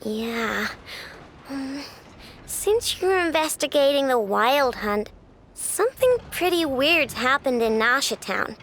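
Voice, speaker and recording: A woman's voice: high voice, expressive, slow, fairly bright tone, slight background noise, full character voice. An actress playing a brash, cocky young female inventor in a video game, with a casual, slangy manner. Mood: sober, matter-of-fact. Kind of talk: video game cutscene voice acting. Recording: music behind the voice.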